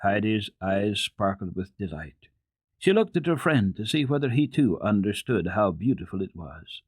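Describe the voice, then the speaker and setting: A man giving a measured, dramatic reading of a children's story as a radio storyteller. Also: lowish voice, husky, no room sound. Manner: expressive, measured storytelling delivery. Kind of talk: dramatic scripted narration of a children's book.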